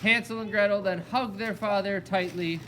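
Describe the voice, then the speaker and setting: Someone telling a kids puppet show story, on the low side, music playing clearly behind. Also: steady tone, moderate pace, low-quality recording. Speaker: storyteller narrator. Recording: loud music alongside the voice.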